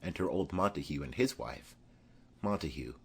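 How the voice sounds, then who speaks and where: A man with a husky voice narrating a play script, reading out names and stage directions. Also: rumbling low, animated, moderate pace. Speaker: audiobook narrator.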